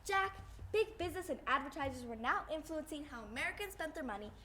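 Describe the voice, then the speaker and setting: A woman's voice: sits high, animated, quick pace. A young teenage girl playing a theatrical, earnest co-host in a scripted old-time radio show. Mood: earnest, presentational.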